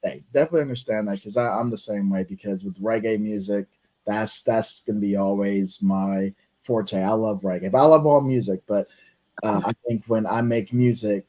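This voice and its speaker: Male host from Barbados, lowish voice, chatty and relaxed interviewer. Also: moderate pace, poor audio. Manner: easygoing, chatty, laughs along. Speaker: radio show host. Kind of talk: casual interview.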